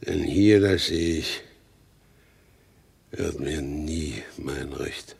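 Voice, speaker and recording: An actor in a German radio play as a proud, embittered and resigned outlaw horse dealer, speaking gravely and formally. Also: male voice, extremely low pitch, very slow, darkish tone, slight background noise, full character voice. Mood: weary, disillusioned.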